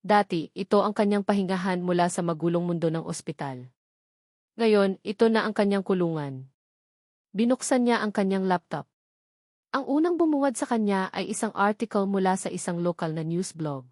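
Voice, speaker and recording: A woman's voice: mid-range voice, animated, moderate pace, a little mellow, no room sound, studio quality. A woman with a Filipino accent reads a scripted love story as a dramatic narrator.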